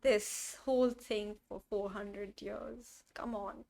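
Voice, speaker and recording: A woman's voice: mid-range voice, steady tone, slow, vocal fry, a little mellow. A woman giving playful, effusive live-stream reactions to a game story, casually echoing its dialogue.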